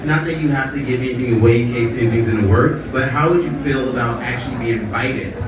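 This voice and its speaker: A man from the audience asks a polite, tentative question in a mid-pitched voice. He is heard from far off in a very echoey hall. A crowd murmurs behind him. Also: quick pace. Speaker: audience member asking a question.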